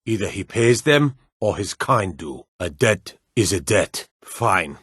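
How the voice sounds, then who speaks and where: An actor playing a stern, dutiful fantasy lord and soldier in a video game, speaking in a formal, measured, authoritative way. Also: male voice, fairly low pitch, animated, a bit bright, husky, dry recording, studio quality, exaggerated voice. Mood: gruff, matter-of-fact. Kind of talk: video game character dialogue.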